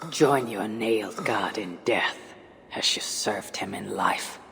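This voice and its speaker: A woman's voice: a bass voice, slow pace, gravelly, fairly bright tone, a little hiss or hum, full character voice. An actress playing a hardened, resolute Viking warrior woman with a wry edge in a video game cutscene. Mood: cold, grim.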